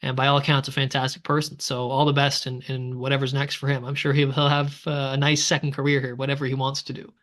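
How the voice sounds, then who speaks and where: A man's voice: mid-range voice, monotone, quick pace, few pauses, fairly bright tone. A man from Canada, co-hosting a casual baseball podcast and often ending his points with "right?". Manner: conversational, tags statements with "right?". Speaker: podcast co-host. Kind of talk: casual sports chat.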